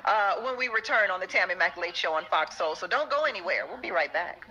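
A woman's voice: on the low side, expressive, fairly quick, pushed and bright, lo-fi sound. A woman hosting a talk show, interviewing in a blunt, conversational broadcast style.

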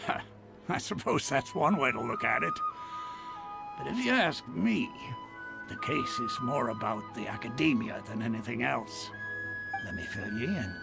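A man voicing a seasoned, genial, grey-haired mercenary chief in a video game, measured and knowing. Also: medium pitch, lively intonation, gravelly, loud music behind the voice, poor audio. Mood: measured briefing. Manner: measured, explanatory. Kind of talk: video game character dialogue.

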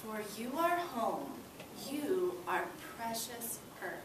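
A young woman with a high voice talks to young children as their teacher. She is expressive and encouraging, phrasing things for little listeners. The sound is roomy and noisy, picked up from across a classroom. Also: takes it slowly, far from the mic. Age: young adult. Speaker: elementary school teacher. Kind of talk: interactive read-aloud to young children. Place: classroom with children seated on the floor. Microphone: far camera.

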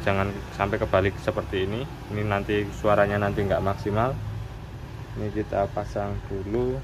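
A man giving a casual hands-on tutorial, explaining step by step in an informal, repetitive way. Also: on the low side. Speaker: motorcycle accessory shop presenter. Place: indoors at a wooden workbench. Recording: clearly noisy room with background hum.

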